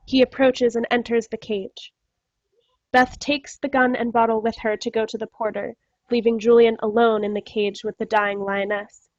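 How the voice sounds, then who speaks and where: Dull-sounding recording, higher-voiced woman narrator. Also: flat delivery, normal speed, dry recording. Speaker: stage directions reader. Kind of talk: scripted narration for a play reading. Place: remote staged reading over video call. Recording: dull, dry video-call audio.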